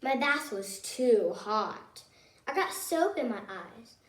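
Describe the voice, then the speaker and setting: A woman reading a children's picture book aloud as a storyteller, expressively and in short, measured phrases. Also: a little high, animated. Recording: roomy echo, distant mic.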